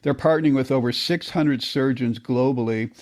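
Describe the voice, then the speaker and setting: A man's voice: medium pitch, moderate pace, darkish tone, no echo. Host, male, encouraging interviewer voice, dry close sound. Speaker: podcast host. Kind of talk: interview conversation.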